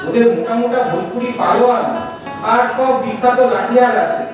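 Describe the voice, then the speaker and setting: Man acting an anxious uncle, high voice, very echoey. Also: steady tone, fairly quick, lo-fi sound. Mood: explaining. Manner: earnest, worried, placating. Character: tender, anxious, hen-pecked middle-aged uncle. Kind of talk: Bengali stage audio drama acting. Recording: echoey hall, distant mic, music behind.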